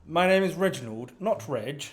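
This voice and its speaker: A man's voice: fairly high pitch, expressive, moderate pace, pitched above the performer's own voice, close to an everyday voice. A man doing a prim pedantic boffin. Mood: indignant. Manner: stiff, indignant.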